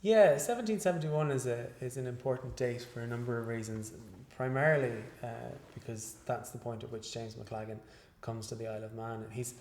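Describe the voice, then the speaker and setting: A male researcher giving an interview after a lecture, speaking in a measured, hesitant way with many fillers and restarts. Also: medium pitch, steady tone, darkish tone, a little hiss or hum. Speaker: guest researcher. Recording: echoey room.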